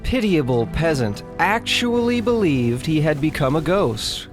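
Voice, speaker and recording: A man's voice: a little high, big pitch swings, measured pace. Male performer as condescending Imperial storyteller, haughty and scornful, ornate scripted delivery, music underneath. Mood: contemptuous. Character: pompous, condescending Imperial man sneering at provincial peasants. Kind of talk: theatrical scripted recap narration. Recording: music behind the voice.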